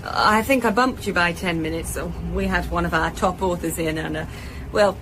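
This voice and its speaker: A woman's voice: on the low side, moderate pace, noisy background, natural voice. An actress playing a chatty, wry female publishing executive, candid and joking in a hesitant conversational way, in a naturalistic radio drama. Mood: wry, amused. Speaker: actor in audio drama.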